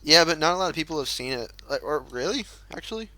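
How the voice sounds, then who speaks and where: A young man chatting casually on a podcast, halting and repeating words, with lots of "like". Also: a little high, expressive, pushed and bright. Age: young adult. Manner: hesitant, repeats words, says "like" often. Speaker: podcast co-host. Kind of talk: casual group chat.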